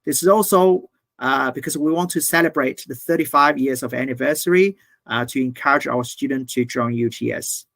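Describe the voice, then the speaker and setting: A man who works as a university's international development manager presents slides in a webinar over a video call. He speaks earnestly and haltingly, with many "uh"s and checks of "okay?". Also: medium pitch, creaky, a bit bright, no room sound.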